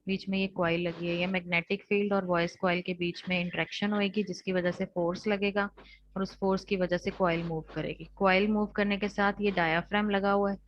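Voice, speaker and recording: A woman's voice: medium pitch, flat delivery, a little fast, slight background noise. An Indian woman teaching an online technical class, explaining step by step and restating each point in other words. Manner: explanatory, repeats points in rephrased steps. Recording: dull lo-fi online class audio.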